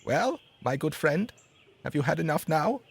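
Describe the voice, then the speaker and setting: A man voicing a small, bold female sparrow, high and strained, drawing out slow, fierce threats. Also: sing-song, creaky, husky, full character voice. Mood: friendly.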